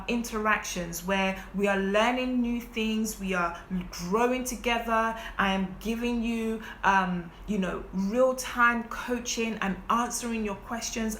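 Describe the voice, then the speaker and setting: Woman life coach, forty, bright voice, chatty and persuasive, live stream in a roomy space. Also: mid-range voice, slight background noise. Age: 40s. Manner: direct, persuasive, chatty with viewers. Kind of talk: live-stream masterclass pitch. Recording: echoey room.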